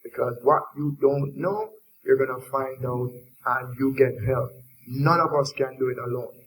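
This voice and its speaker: A man's voice: medium pitch, steady tone, moderate pace, slight background noise, low-quality recording. A man from Jamaica with a Jamaican accent, speaking earnestly to students as a panelist.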